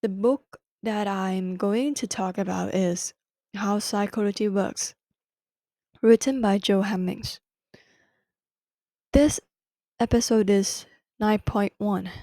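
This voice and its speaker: Slow, mellow-voiced woman with Thai accent. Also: mid-range voice, fairly even intonation, no echo. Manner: careful, deliberate non-native English with self-corrections. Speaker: podcast host. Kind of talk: solo book-summary podcast.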